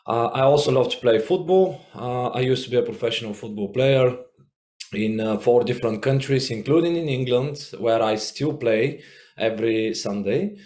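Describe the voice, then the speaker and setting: A man from Bulgaria gives a friendly self-introduction as a first-year student. His voice sits at a middle pitch, and he often pauses with "uh". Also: darkish tone. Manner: friendly, with frequent "uh" fillers.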